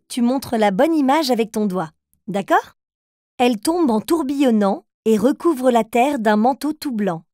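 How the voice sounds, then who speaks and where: A woman's voice: on the high side, a little mellow. A woman narrating a children's cartoon, patiently reading riddles aloud and encouraging little ones to guess. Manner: patient and encouraging, speaks directly to young children. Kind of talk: gentle scripted narration for toddlers.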